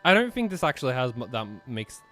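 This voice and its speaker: A man's voice: mid-range voice, sing-song, quick pace, bright, forceful tone, faint music behind. A man reading a visual novel aloud and chatting casually as he plays. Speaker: player and narrator of a game playthrough.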